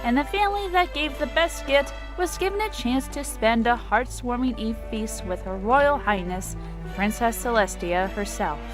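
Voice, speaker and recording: A woman's voice: a little high, lively intonation, normal speed, low-quality recording. A woman narrator reading a children's-style story as a scripted dramatic reading. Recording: music under the voice.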